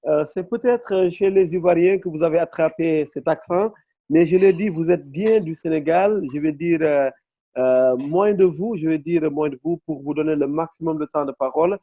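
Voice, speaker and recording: Male interview host from Senegal, formal and measured, dark tone, dry and lo-fi. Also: on the high side, no echo. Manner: formal, measured, with frequent fillers.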